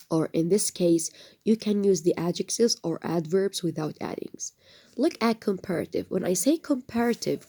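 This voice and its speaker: Slow, soft-spoken woman teaching grammar. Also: lowish voice. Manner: slow, patient explaining with frequent fillers. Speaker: English teacher. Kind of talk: recorded textbook lesson.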